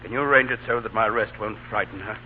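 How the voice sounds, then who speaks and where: A man's voice: fairly low pitch, fast, natural voice. An actor playing an honorable, devoted Confederate captain and father in a solemn, earnest old radio drama style. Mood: grave, resigned. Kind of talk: 1930s-1940s radio acting. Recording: tinny, hissy old radio broadcast.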